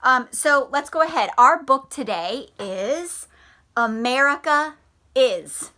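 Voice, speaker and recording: Female schoolteacher for a children's read-aloud lesson; bright, clearly forward tone, slow and deliberate, expressive delivery. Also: sits high. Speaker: elementary school teacher.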